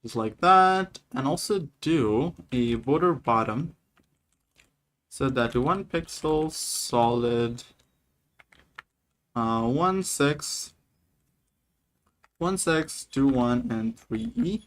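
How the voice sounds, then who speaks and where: A man's voice: mid-range voice, expressive, very slow, long pauses, a bit bright. Casual man talking through code. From Nepal. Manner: casual, talks through each step while typing. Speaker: coding streamer. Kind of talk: live coding stream, dictating code and reading chat.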